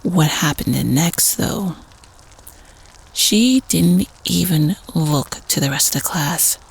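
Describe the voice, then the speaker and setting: A very husky teenage prep-schooler voiced by a woman spins a campfire horror tale for an audio roleplay. The delivery is slow and creaky, conspiratorial, slipping into hushed tones. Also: low voice, exaggerated voice.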